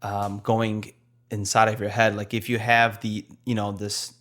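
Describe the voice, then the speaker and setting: A man from Poland with a Polish accent, co-hosting a nursing podcast in casual, blunt chat and slowing down to stress his points. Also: lowish voice, little pitch movement, fairly bright tone. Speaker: nurse podcast co-host.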